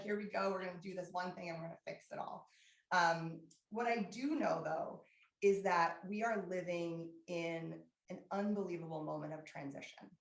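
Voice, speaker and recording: A woman giving a candid, energetic talk as a guest speaker, her voice husky from laryngitis, with frequent fillers. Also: lowish voice, reverberant, low-quality recording. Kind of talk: public lecture.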